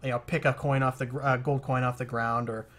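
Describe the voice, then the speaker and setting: A man walks a new game master through his advice, talking in a relaxed, explanatory way. He keeps using fillers like "uh" and "like" as he thinks aloud, in an easy, plain voice. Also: mid-range voice, a little fast. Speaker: experienced game master giving feedback. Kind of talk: casual feedback discussion on a call.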